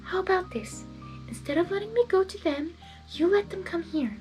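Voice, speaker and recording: A woman voicing a gentle young female pony in a fanfiction story, soft, earnest and pleading but determined. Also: very high-pitched, lively intonation, normal speed, soft background music, pitched above the performer's own voice, poor audio.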